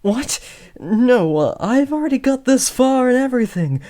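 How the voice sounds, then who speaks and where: A man's voice: very high-pitched, sing-song, moderate pace, mellow. A man playing an awkward teenage trainee supervillain. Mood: flustered pleading. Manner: hesitant, awkward, self-correcting. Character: awkward, flustered teenage boy trainee supervillain, earnest and harmless. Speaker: audio roleplay performer. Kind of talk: comedic roleplay voice acting addressed to the listener.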